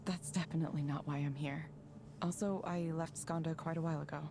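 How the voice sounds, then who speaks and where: A reserved woman engineer, modest and a little guarded. She speaks in a low voice with understated, hesitant delivery, with faint noise in the background. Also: steady tone, brisk. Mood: reticent. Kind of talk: video game voice acting.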